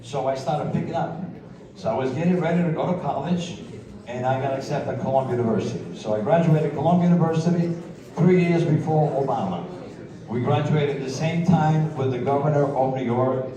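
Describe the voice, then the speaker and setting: A middle-aged man from Sicily, casually telling his life story in a rambling, boastful way and chuckling often. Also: fairly high pitch. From Sicily, Italy. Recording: roomy, distant mic, crowd around.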